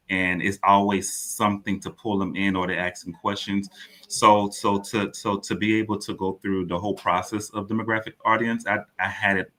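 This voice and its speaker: A man's voice: low-pitched, bright, forceful tone. A man giving conversational, rambling answers as an interview guest, often restating himself. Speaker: interview guest, playwright and producer.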